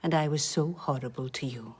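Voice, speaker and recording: A woman's voice: deep voice, fairly quick, a little mellow, slightly rough. An actress playing an effusive, sentimental older woman with a Welsh accent in a radio drama, lingering on her words. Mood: sentimental, coy.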